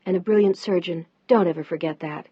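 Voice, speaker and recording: A woman voicing a devoted wife who talks gently but firmly to her husband. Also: lowish voice, fairly quick, slightly rough, no room sound. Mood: consoling. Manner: gentle but firm, rising when pushed. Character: devoted, pleading wife of a surgeon. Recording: dull, dry lo-fi recording.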